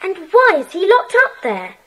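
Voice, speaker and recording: A decisive schoolboy who leads his little club. He speaks very high and with lively swings of pitch, giving orders in the manner of a children's radio adventure. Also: normal speed, heavily acted. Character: Peter, decisive schoolboy leader of secret club. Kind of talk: children's audiobook dramatisation.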